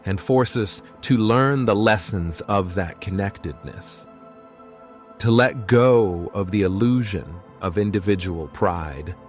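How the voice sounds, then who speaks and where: A calm, devout healer speaks low and with great deliberation. His manner is grave, earnest and philosophical, as in an audio drama, with music underneath. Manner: measured, solemn, earnest.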